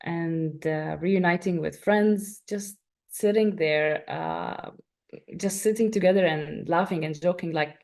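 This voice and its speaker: Syrian-born woman, 30s, low gravelly voice, lots of ums and restarts, thoughtful interview talk. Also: takes it slowly, darkish tone. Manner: reflective, many fillers and restarts. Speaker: interviewee, writer. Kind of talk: emotional interview answers.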